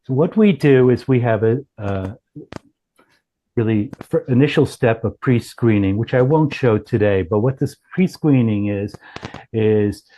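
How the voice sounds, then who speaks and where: A man's voice: medium pitch, unhurried, warm tone, low-quality recording. A man giving an informal product presentation as a company founder, explaining things with frequent self-corrections.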